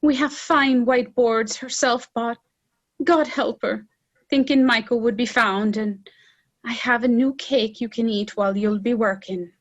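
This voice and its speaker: Lady acting a sad young village daughter in a stage play, high voice, really slow and tender. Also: fairly even intonation, muffled recording. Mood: subdued sorrow. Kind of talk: stage drama performance.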